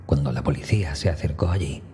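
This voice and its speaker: A very low male voice tells a horror tale as a scripted first-person narration. The tone is dark and mellow, often sinking close to a hushed whisper. Faint music plays underneath. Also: fast, creaky. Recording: music behind the voice. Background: background music.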